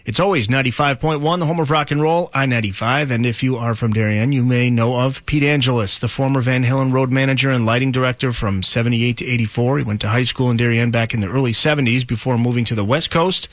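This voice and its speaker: A man's voice: medium pitch, fast talker, few pauses, no room sound. An American man working as a rock radio DJ, announcing songs, contests and local news in a polished, punchy, upbeat announcer style.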